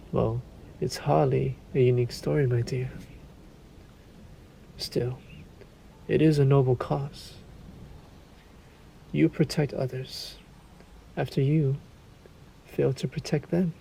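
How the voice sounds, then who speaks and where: A man playing a suave, sadistic vampire lord in an intimate audio roleplay, taunting the listener with silky, theatrical, deliberate phrasing. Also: medium pitch, fairly even intonation, creaky, mellow, a little hiss or hum, low-quality recording.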